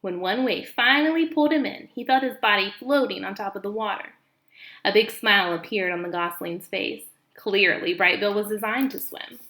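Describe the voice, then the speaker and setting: A woman reading a children's book aloud as an expressive storyteller, recorded in an echoey room. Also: medium pitch, moderate pace.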